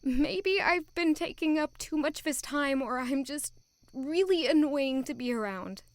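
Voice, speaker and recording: A woman's voice: high-pitched, animated, a little slow, no echo. A young woman acting an anxious, lovelorn servant girl in an audio drama, earnest and plaintive. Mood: insecure, sad.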